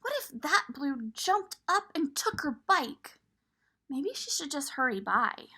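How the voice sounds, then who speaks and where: A woman reading a picture book aloud to young children, expressive and pausing to point things out. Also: sits high. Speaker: children's storybook reader.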